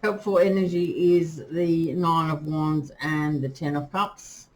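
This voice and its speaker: A woman giving a casual tarot reading, chatty and full of fillers, pausing to ask her spirits and guides as she draws cards. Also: low voice, fairly even intonation, darkish tone, poor audio. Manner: chatty, many fillers, talks to spirits while drawing cards. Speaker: tarot reader. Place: indoors with rain outside. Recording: faint rain noise behind.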